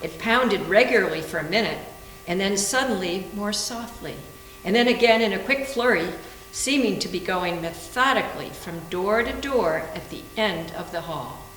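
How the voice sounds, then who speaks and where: A woman's voice: medium pitch, lively intonation, moderate pace, a bit bright. Distant woman narrating in echoey room. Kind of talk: scripted story narration in readers theater. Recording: echoey room, far from mic, faint noise.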